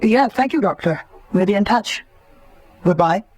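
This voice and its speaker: An actress playing a poised, brisk society lady in a radio spy drama. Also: mid-range voice, lively intonation, darkish tone, natural voice. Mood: brisk, concerned.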